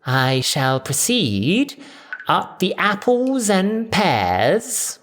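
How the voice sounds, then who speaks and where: A man voicing a haughty woman who fakes a Cockney accent with halting, forced cheer in an audio story. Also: sits high, sing-song, a bit bright, a little hiss or hum, voice pushed up, full character voice. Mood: false cheer. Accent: mock Cockney. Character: haughty villainess faking a bright Cockney girl.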